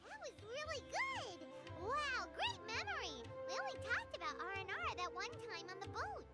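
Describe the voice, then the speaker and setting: A woman's voice: very high voice, wildly swooping pitch, normal speed, heavily acted. A woman voicing a tiny, chirpy, childlike fairy guide in a video game. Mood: amused. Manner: speaks of herself in the third person. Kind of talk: animated video game voice acting. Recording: loud music under the voice.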